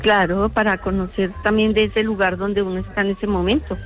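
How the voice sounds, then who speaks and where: A woman's voice: mid-range voice, flat delivery, fairly quick, faint music behind. A middle-aged woman with a Colombian accent, chatting casually and telling personal anecdotes as a caller to a radio programme.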